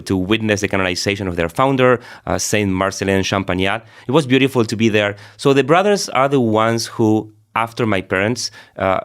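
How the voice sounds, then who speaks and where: Low-voiced Argentine priest, middle-aged man. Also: a bit bright, clean tone. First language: Spanish. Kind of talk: personal storytelling in an interview.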